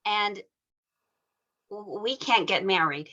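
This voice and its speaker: A woman playing a spirited, devoted high school girl in a script table read, with expressive, emotional delivery. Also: slow, highs cut off. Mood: earnest, hesitant.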